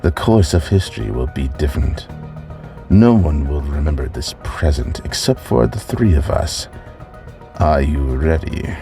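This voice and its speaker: An actor playing a commanding villain who casts spells, solemn and portentous, in an audio drama. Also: male voice, extremely low pitch, very animated, unhurried, gravelly, dark tone, roomy sound, in a large hall, soft background music, full character voice. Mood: solemn, ominous. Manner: portentous, incantatory.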